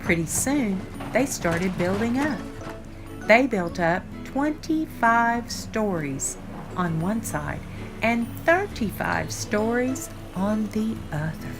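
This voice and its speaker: An older woman reading a children's picture book aloud, with deliberate, expressive phrasing for young listeners. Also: mid-range voice. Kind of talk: read-aloud storytime narration. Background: background music.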